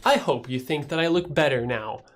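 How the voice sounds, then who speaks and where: A woman's voice: low-pitched, normal speed. A woman in her thirties with a Swedish accent, chatting casually on a livestream with lots of restarts and casual swearing. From Sweden. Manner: rambling, swears casually, frequent restarts and laughs. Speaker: YouTuber hosting a livestream. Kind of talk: casual livestream chat reading chat questions.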